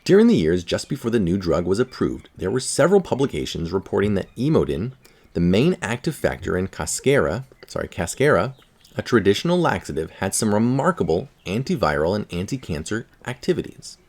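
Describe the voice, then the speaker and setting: A man with a low voice reads a scientific article aloud. His intonation moves around a lot, and his delivery is informal, like someone reading for listeners and commenting along the way. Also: sing-song, fairly quick, a little mellow. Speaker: article reader.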